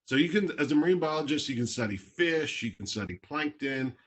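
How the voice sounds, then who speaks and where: A man's voice: fairly high pitch, lively intonation, fairly quick. A man from Toronto, Canada, a marine biologist talking casually on a video call, explaining in plain words with a few fillers.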